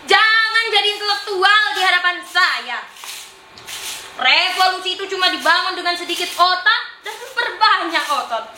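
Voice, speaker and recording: A young woman acting a boastful, mocking self-styled artist in a theatrical stage monologue, exaggerated and taunting, with drawn-out, singsong words. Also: extremely high pitch, lively intonation, bright, forceful tone, exaggerated voice. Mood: mocking, scornful. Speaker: student stage actor in a monologue competition. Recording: roomy echo, faint background noise.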